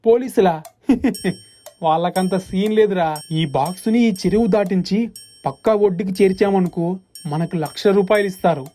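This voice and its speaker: A headstrong young fisherman voiced by a woman, with a dark, mellow tone and medium pitch over faint music. He is the money-hungry elder brother of a cartoon moral story. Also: moderate pace, long pauses, natural voice. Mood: defiant greedy. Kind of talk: animated moral story dialogue.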